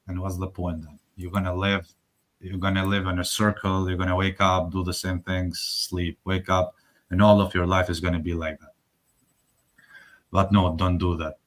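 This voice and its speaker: A man with an Albanian accent co-hosts a live online workshop over a video call. His voice sits fairly low, and he speaks earnestly, often stopping and restarting as he searches for the right words. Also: a bit bright. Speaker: workshop co-host.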